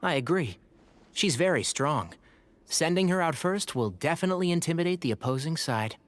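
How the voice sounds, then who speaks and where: A man playing a calm, composed, encouraging young companion in an anime video game. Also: a little high, moderate pace, lightly acted. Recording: faint music behind the voice.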